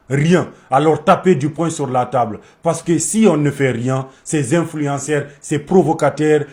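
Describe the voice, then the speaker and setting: Man, fairly high voice, impassioned opinion speech, exhorting, short emphatic phrases. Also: darkish tone.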